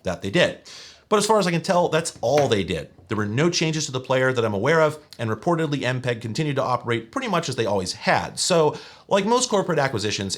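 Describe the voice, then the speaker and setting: Male video essayist, mid-range voice, expressive melody, wry scripted delivery with strong emphasis and opinions. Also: mid-range voice. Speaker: video essay host and narrator. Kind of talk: scripted tech history narration.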